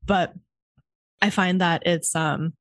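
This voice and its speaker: A woman in her twenties with a Californian accent, talking fast and chatty in casual conversation with lots of fillers. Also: lowish voice, fairly even intonation, fairly bright tone, no echo, studio quality.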